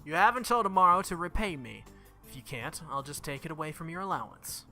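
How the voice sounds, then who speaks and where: A man reads the part of a confident, mean little girl in a visual novel game. The voice is very bright and fairly high, with taunting, matter-of-fact delivery over music. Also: sing-song, a little fast, exaggerated voice. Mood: smug, taunting. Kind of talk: visual novel character reading.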